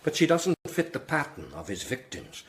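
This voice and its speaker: Actor doing solemn lord archbishop, authoritative and formal, lively pitch swings, medium voice. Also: male voice, normal speed, close to an everyday voice. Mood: grave, commanding. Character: grave, devout, commanding lord archbishop. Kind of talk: radio drama acting.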